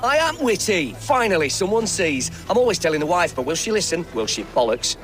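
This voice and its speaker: Grumbling townsman, lively high voice. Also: fairly quick. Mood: smug, wry. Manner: grumbling, wry. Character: grumpy, self-satisfied henpecked husband, common townsman. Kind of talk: video game character acting.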